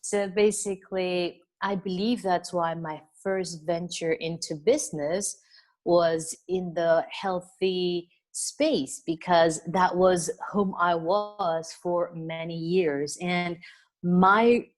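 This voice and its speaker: A middle-aged woman from Venezuela, a wellness business coach, chatting at length as an interview guest with frequent fillers and soft laughs. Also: lowish voice, fairly even intonation, slow pace. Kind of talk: casual interview conversation.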